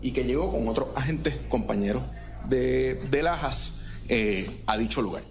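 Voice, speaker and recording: A man's voice: medium pitch, moderate pace, soft background music. A male lawyer questioning a police witness in court, polite and methodical. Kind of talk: courtroom examination. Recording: echoey courtroom mic.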